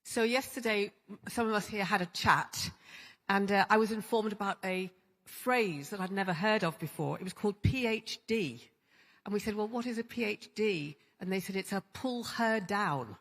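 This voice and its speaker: A woman moderating a conference panel, chatty and halting with many restarts. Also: mid-range voice, a bit bright. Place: large conference hall. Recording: long hall reverb.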